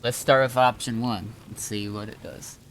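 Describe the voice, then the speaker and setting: A man's voice: mid-range voice, fairly even intonation, normal speed. A man chatting casually with other players in an online roleplay game. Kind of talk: casual gaming voice chat. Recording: noisy game voice chat audio.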